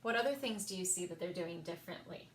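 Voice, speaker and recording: A woman reading picture books aloud for a children's story time, expressive and sing-song, with emphasized words. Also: lowish voice, quick pace, a little hiss or hum. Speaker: library story time reader.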